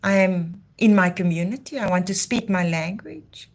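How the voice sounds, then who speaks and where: A woman's voice: medium pitch, animated, moderate pace. A woman from Namibia, hosting a live talk in a passionate, declamatory way. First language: Afrikaans. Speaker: livestream host. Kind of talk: impassioned heritage talk.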